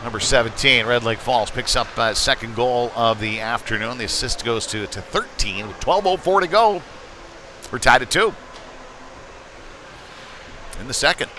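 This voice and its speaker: A man's voice: mid-range voice, moderate pace, pauses often, sharp tone. A man doing live play-by-play of youth hockey in a casual, folksy, slightly hesitant way. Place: ice rink, live stream broadcast. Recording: clear rink noise behind the voice.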